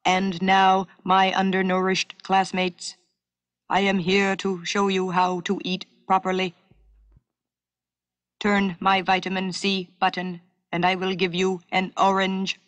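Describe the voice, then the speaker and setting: A woman's voice: mid-range voice, completely flat delivery, takes it slowly, no room sound, lightly acted. A woman voicing a boy who plays a lumbering monster robot, in a hollow, stilted, mock-menacing way. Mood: stilted, instructive.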